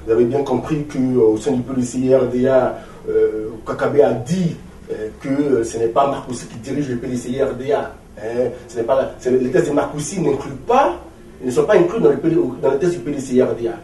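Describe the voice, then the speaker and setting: A man's voice: mid-range voice, brisk, mellow. A man in his forties with an Ivorian French accent, speaking as a political leader in an interview, emphatic and rhetorical with repeated phrases. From Ivory Coast. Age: 40s. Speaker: opposition political party leader being interviewed. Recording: roomy, distant mic with faint noise.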